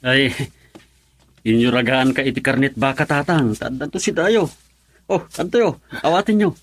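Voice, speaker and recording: An actor with an Ilocano accent playing a cheerful married father in a radio drama, with a hearty, expressive delivery. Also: mid-range voice, normal speed, natural voice. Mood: friendly, hearty. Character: cheerful married father, husband of an overseas worker.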